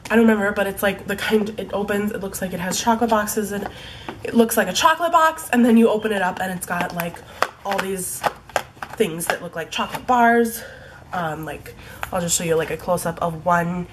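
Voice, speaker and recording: A young woman talks casually to her camera with a stuffed-up, congested voice from a cold. She rambles in a chatty way, full of likes and ums, at a middling pitch. A faint hiss sits under the home recording. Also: fairly quick. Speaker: beauty vlogger. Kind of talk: casual product review. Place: home room in fading daylight.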